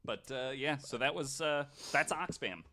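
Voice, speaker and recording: A man's voice: mid-range voice, animated, unhurried, bright, forceful tone. A man casually telling a researched story on a podcast, in an informal, somewhat hesitant way.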